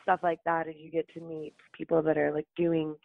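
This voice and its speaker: A woman's voice: low voice, monotone, fairly quick, gravelly, dry recording. Chatty woman on a tinny line. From Utah, USA. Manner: chatty, laughs often, uses "like" a lot. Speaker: guest. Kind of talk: casual interview chat.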